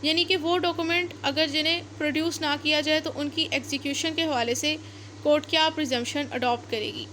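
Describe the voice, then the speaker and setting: A woman with a Pakistani accent speaks in a very high voice, lecturing like a law teacher. She reads out and then explains point by point, repeating herself and slipping in English terms. There is faint noise in the recording. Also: fairly even intonation, fairly quick, sharp tone. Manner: repetitive step-by-step explaining, code-switching into English terms.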